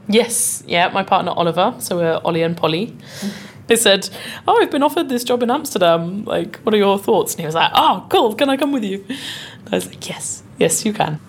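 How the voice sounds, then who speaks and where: A woman's voice: mid-range voice, expressive, fairly quick, breathy edge. A young woman from the UK, a mezzo-soprano, chatting in an interview with a bubbly, casual manner. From United Kingdom. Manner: chatty, bubbly, uses "like" and "kind of". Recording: faint background noise.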